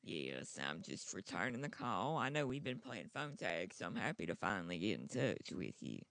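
A woman's voice: a bass voice, big pitch swings, normal speed, creaky, a bit bright, no echo, voice pushed down, heavily acted. A young woman voicing a polite, folksy older lady for prank calls, full of sweet endearments. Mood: polite, businesslike. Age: young adult. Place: outdoors in a garden. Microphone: handheld microphone.

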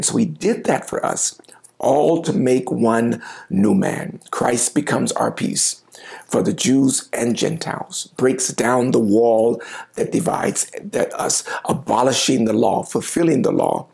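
Gravelly middle-aged pastor preaching. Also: male voice, medium pitch. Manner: animated gestures, reading and explaining scripture, occasional quiet asides. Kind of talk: sermon preached to camera. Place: home office with bookshelves, indoors. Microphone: lapel mic, seated at desk.

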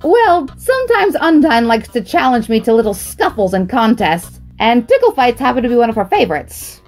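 Girl doing a loud high-pitched skeleton bro, super dramatic, voice swoops all over, music behind. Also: very animated, moderate pace. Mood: earnest, apologetic. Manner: theatrical, emphatic, stammers when nervous. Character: loud, excitable, boastful young male skeleton brother, easily flustered. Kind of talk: amateur audio drama acting.

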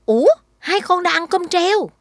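A woman voicing a caring but gently firm young mother in a children's cartoon. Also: extremely high pitch, expressive, moderate pace, no room sound, clean studio sound, full character voice. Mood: disapproving.

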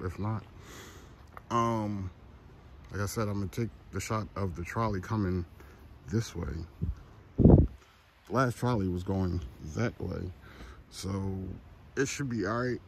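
A man's voice: lowish voice, unhurried, pauses often, a little mellow. A man, rambling casually in slangy, offhand, joking vlog chat. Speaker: podcast host and photographer. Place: outdoors by trolley tracks on a trestle. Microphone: handheld phone at arm's length. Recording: noisy outdoor phone recording. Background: clear outdoor ambient noise.

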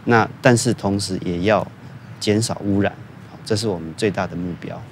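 A man in his forties, a government official, speaking deliberately with pauses outdoors. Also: sits low, steady tone, slight background noise. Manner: deliberate, halting, many fillers. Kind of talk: interview. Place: outdoors in a park.

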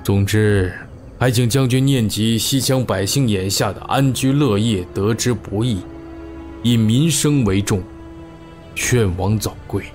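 Guy doing a deferential royal advisor, lowish voice, formal persuasive talk, period radio play. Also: a little slow, a little mellow, soft background music, close to an everyday voice. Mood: grave pleading. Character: shrewd, measured male royal chief minister and strategist. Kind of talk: Chinese historical audio drama acting.

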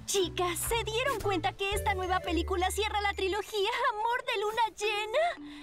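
A woman's voice: very high-pitched, fairly even intonation, moderate pace, loud music behind the voice. A woman voicing a dramatic, starry-eyed teenage fangirl in a cartoon dub, gushing and emphatic. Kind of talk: animated cartoon dub acting.

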